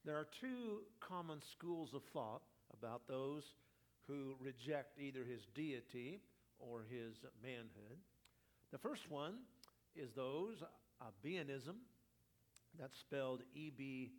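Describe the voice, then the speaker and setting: A male pastor preaching a teaching sermon, his voice slightly raspy today, leading the congregation through scripture. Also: mid-range voice, slow. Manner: teaching, leads congregation in reading.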